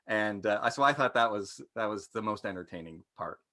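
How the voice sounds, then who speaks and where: A man's voice: on the low side, animated, normal speed, sharp tone. Man giving opinions in informal style. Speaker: panelist. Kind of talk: casual discussion, giving opinions.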